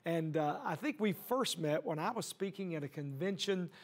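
High-voiced enthusiastic TV host man. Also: lively intonation, normal speed. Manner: enthusiastic, emphatic, uses "uh" fillers. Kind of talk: upbeat TV hosting. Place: TV studio set. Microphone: lapel.

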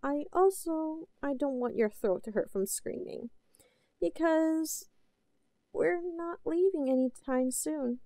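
An obsessive, possessive yandere woman, acted by a woman for an intimate audio drama. Her voice is fairly high and mellow, recorded dry and close. She talks in slow, halting pleas, lovesick and unhinged. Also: no echo. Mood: soft, possessive. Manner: halting, pleading, swinging between soft cooing and outbursts. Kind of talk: scripted audio roleplay, intimate and melodramatic.

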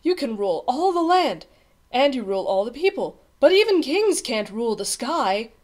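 A woman's voice: high-pitched, sing-song, normal speed, darkish tone. A woman voicing an earnest young page boy in a storybook, polite and deferential but anxious and frank. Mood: respectful, frank. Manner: deferential, pleading, gasping. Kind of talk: storybook character voice.

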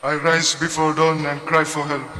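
A man leading spoken responses in a church prayer service, reciting in a flat, even way. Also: on the high side, normal speed, a little hiss or hum. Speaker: prayer leader. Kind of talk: liturgical responses. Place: large church. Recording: reverberant church hall.

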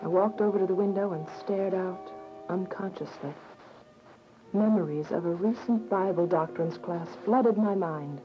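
A woman's voice: medium pitch, lightly acted. A young woman playing an earnest, devout teenage daughter who narrates a radio drama. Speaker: narrator and lead character. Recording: muffled radio drama, music behind.

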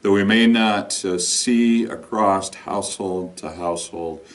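A middle-aged man with a deep voice speaks as a pastor in informal sermon and prayer. His delivery is very slow, halting and deliberate. The microphone sits some way off in the room. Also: recorded from a distance. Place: indoor lounge with couch and brick wall.

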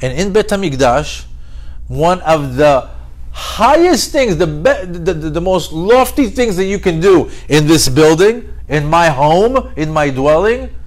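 A man's voice: fairly high pitch, very animated, unhurried. A man giving a conversational Torah lesson as a religious teacher, telling it like a story with rhetorical questions and repeated phrases for emphasis. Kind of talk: animated Torah lesson with acted-out dialogue.

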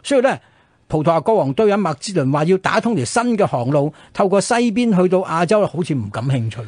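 A man's voice: high voice, animated, brisk, darkish tone, dry recording. A man with a Hong Kong Cantonese accent co-hosting a radio history show, explaining conversationally and often checking in with rhetorical questions.

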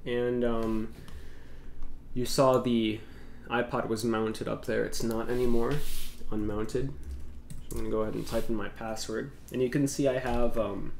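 A man's voice: medium pitch, little pitch movement, measured pace, gravelly, darkish tone. Congested young man in an echoey room. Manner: rambling, many fillers. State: congested from a cold. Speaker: tech YouTuber. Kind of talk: casual tech vlog. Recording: echoey room with faint noise.

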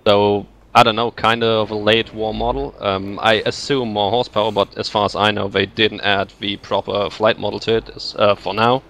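A man's voice: on the low side, little pitch movement, normal speed, gravelly, few pauses, a bit bright. A man chiming in as co-commentator with stats, speaking in a hesitant, tentative way during a casual game chat.

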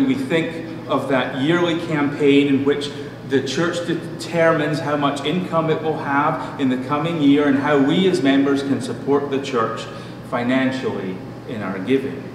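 A middle-aged man preaching a sermon as a pastor, in a measured, deliberate manner with pauses. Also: medium pitch. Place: church room at a pulpit. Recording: distant mic, echoey hall, noisy background.

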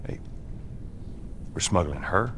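An actor playing a gruff, cynical middle-aged male smuggler in a game, who talks tersely and dryly with a sarcastic edge. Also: low voice, lively intonation, takes it slowly, a bit bright, slight background noise, full character voice. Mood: curt.

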